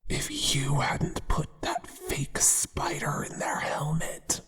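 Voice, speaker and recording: A performer voicing a possessive male spider monster, a devoted but menacing lover, in a hushed ASMR whisper with a crooning, deliberate delivery. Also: medium pitch, wildly swooping pitch, breathy edge, full character voice. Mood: indignant, adoring. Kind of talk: whispered ASMR roleplay acting. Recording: long reverberant echo.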